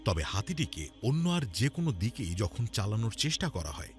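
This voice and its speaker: A man's voice: lowish voice, big pitch swings, moderate pace. A man narrating a scripted religious cartoon story in a dramatic storytelling style. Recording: music under the voice. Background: background music.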